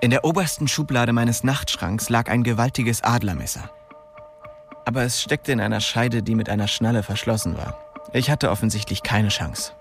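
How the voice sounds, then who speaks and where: A man's voice: mid-range voice, moderate pace, creaky, faint music behind, close to an everyday voice. A male actor playing the young first-person narrator of a radio crime drama, telling it in a terse, inward, restrained way. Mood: tense, reflective. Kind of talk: scripted radio play narration.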